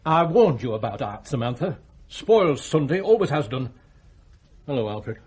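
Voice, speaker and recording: A man's voice: mid-range voice, very animated, normal speed, a little mellow, slightly rough. An actor playing a domineering, blunt self-made tycoon and father in a radio drama, brusque and grumbling. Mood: disapproving.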